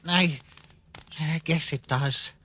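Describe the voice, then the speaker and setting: A woman's voice: sits low, steady tone, slow pace, a bit bright, slight background noise. A woman voicing a polite, innocent young male skeleton in a comic dub, earnest and a little formal. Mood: hesitant, troubled. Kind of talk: comic dub character acting. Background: music.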